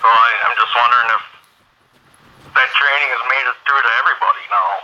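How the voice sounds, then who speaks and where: A man conducting an informal phone interview, asking questions in a halting, repetitive way. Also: medium pitch, pushed and bright. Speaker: interviewer, community watch member. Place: telephone call. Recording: band-limited phone call with faint noise.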